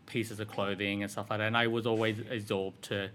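A man's voice: lowish voice, little pitch movement, fairly quick, a bit bright, a little hiss or hum. A man in his thirties with an Australian accent, talking casually as an interview guest and rambling through his answers with frequent "like" and "um". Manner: rambling, filler-heavy with frequent "like" and "um". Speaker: fashion designer guest.

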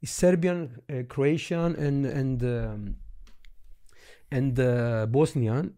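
A man's voice: medium pitch, slow pace, mellow. A man with a Greek accent, teaching history in a casual lesson, eagerly explaining and thinking aloud as he points things out.

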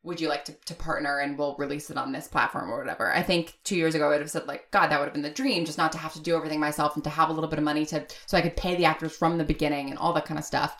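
A woman's voice: low voice, fairly even intonation, racing, few pauses, fairly bright tone. A woman speaking as an interview guest, candid and opinionated, in a casual chatty style with lots of "like". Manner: candid, opinionated, frequent filler "like". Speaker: audio fiction writer and producer, interview guest.

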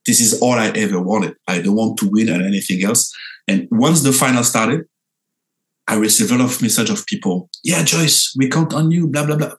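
A young Frenchman speaking English with a clear French accent, telling his own story in an interview. His voice sits on the lower side. He talks in an animated way, jumping up in pitch and stumbling into restarts as he goes. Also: rough recording. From France. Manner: animated, lively pitch jumps, halting restarts.

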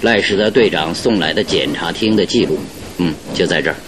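An actor playing a confident male detective in a radio drama, reasoning out a case with deliberate, theatrical authority. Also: natural voice. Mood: assured. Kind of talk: Mandarin radio drama acting. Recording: noisy old radio drama, train rumble behind.

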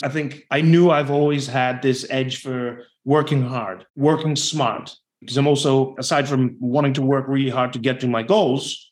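A man with a Dutch accent speaks as a podcast guest, his English carrying the sound of a non-native speaker. He answers reflectively in long, winding explanations. His voice sits at an ordinary middle pitch. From Netherlands. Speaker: guest, sales leader. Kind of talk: podcast interview.

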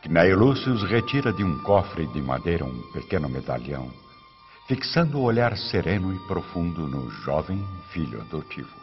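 A man with a very low voice narrates a radio drama. His delivery is dramatic and highly animated in pitch. Long reverb surrounds him, with music underneath. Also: moderate pace, dull audio.

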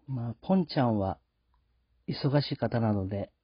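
A man hosting a show solo, speaking politely and reflectively in a casual, halting monologue. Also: medium pitch, breathy edge, no echo. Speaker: host. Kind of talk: casual podcast sign-off monologue. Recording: dull lo-fi sound.

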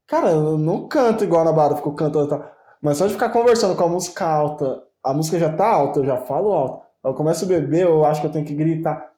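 A young man chatting casually as a co-host, slangy and animated, swearing freely. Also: on the high side, quick pace, few pauses. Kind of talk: casual chat. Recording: echoey room.

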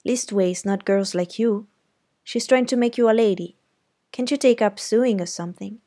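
A woman's voice: medium pitch, moderate pace, warm tone, pitched above the performer's own voice, close to an everyday voice. A woman reading aloud voices an earnest teenage boy who talks to his younger sister in an eager, confiding way.

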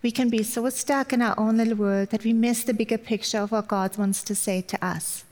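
A woman's voice: on the high side, steady tone, brisk, darkish tone. German-accented woman preaching in long reverb. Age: middle-aged. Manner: personal, lightly humorous. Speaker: guest speaker at church. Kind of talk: sermon.

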